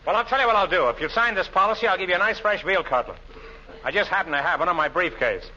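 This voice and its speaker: A man's voice: high-pitched, lively intonation, quick pace, pushed and bright, full character voice. An actor playing a brash, glib insurance salesman and comic schemer in 1940s radio comedy style. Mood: pushy. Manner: glib, wisecracking patter. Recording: noisy, muffled old radio recording.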